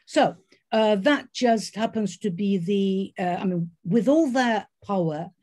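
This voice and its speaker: A woman speaking as a discussant on an academic panel, measured and halting, with frequent fillers and restarts. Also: mid-range voice, dark tone.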